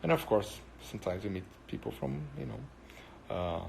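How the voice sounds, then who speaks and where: A man's voice: on the low side, animated, normal speed, vocal fry, a little hiss or hum, low-quality recording. Brazilian man rambling through viewer chat. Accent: Brazilian Portuguese. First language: Portuguese. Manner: rambling, hesitant with many fillers. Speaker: musician answering viewers. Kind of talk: casual live-stream chat.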